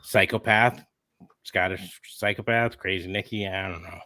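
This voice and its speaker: A man's voice: low-pitched, steady tone, measured pace, sharp tone. A man hosting a podcast in casual banter, joking and thinking aloud with hesitations. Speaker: podcast host. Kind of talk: casual wrestling fantasy booking chat.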